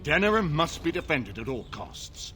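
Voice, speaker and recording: A man's voice: a little high, very animated, moderate pace, sharp tone, faint music behind. An actor playing a commanding, courteous older nobleman in a fantasy video game, speaking formally and with stately authority. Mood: stern, courteous.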